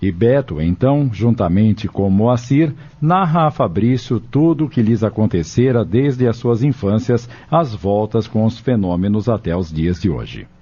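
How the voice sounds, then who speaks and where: An actor as a sober narrator reading scripted narration for an audio novel. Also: male voice, fairly low pitch, animated, a little slow, few pauses, dark tone, breathy edge, dull audio. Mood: neutral. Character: sober male storybook narrator.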